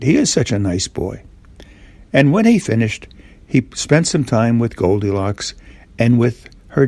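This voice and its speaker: Older man telling a bedtime story, deep voice. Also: darkish tone, no echo. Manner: simple, repetitive, asks the child listener questions. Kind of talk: informal bedtime storytelling for young children.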